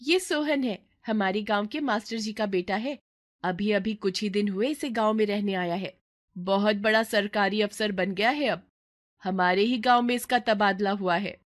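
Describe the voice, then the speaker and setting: A woman's voice: medium pitch, normal speed, no echo, studio quality, close to an everyday voice. A woman playing a sweet, earnest young daughter-in-law in a village story, polite and lilting. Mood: cheerful.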